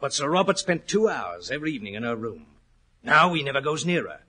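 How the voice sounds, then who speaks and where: An anxious, plain-spoken stable man in an old radio play. His voice is keen and a little hoarse. He tells his tale earnestly and respectfully, with countrified turns of phrase. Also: mid-range voice, sing-song, fast talker, pushed and bright, full character voice. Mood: troubled, confiding. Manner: earnest, rustic turns of phrase, dramatic storytelling. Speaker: actor in a radio drama. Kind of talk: 1940s radio acting. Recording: old 1940s radio recording.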